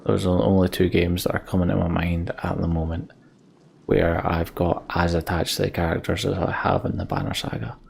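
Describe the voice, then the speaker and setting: A man with a low voice and a crackly, fry-laden edge talks casually to his gaming audience. He is halting and heartfelt, reflecting as he goes, with faint noise behind him. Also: little pitch movement, darkish tone. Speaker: gaming let's play host. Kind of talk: casual sign-off chat to viewers.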